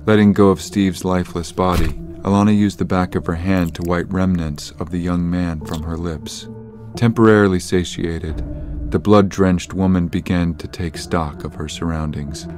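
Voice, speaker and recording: Deep male horror narrator over music. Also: fairly even intonation, darkish tone. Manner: measured, literary storytelling.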